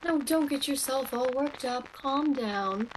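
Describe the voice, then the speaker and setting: A woman's voice: high voice, measured pace, noisy background. A woman playing a kindly, inquisitive shop coworker and confidant in a radio play, with a soothing manner. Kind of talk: virtual radio play acting.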